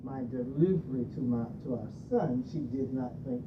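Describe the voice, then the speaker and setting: A middle-aged woman hosting a radio discussion on marriage, reading from a handout and laughing between points. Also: normal speed. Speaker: radio show host. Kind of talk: leading a group discussion on marriage. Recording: distant muffled recording with rumble.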